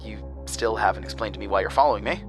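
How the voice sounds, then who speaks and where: A man's voice: fairly low pitch, quick pace, music in the background, natural voice. A man acting in a horror audio drama as a weary, anxious character who coaxes answers and narrates his ordeal. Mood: weary, uneasy. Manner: hesitant, stammering in dialogue.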